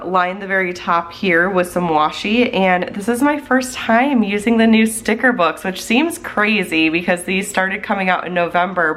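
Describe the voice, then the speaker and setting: A woman's voice: fairly low pitch, a bit bright, slight background noise. Congested woman chatting through a plan-with-me. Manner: chatty, thinking aloud as she works. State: congested from the flu. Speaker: planning channel host. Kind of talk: casual plan-with-me voiceover.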